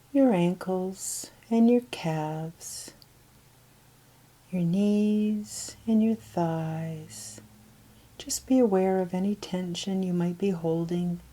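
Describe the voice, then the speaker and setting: Slow, low, mellow woman leading meditation. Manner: slow, gentle, unhurried counting and pauses. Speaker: guided meditation leader. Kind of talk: guided meditation, soothing instruction.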